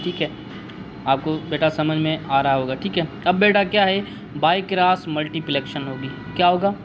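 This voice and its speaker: A man's voice: fairly high pitch, noisy background. A man, patiently explaining an entrance test maths question step by step to his students. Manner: patient, repeats steps and addresses students as dear child. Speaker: maths teacher.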